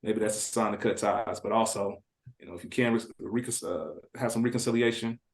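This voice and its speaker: A man's voice: on the low side, fairly even intonation, fairly quick. A man speaking as a podcast guest in a casual, reflective and slangy conversational way.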